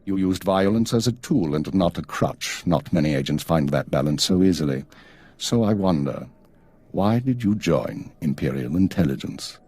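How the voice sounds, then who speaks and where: An actor playing a stern, weary intelligence chief in a video game, formal, clipped and measured. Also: male voice, sits low, animated, a little mellow, slightly rough. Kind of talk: video game cutscene acting.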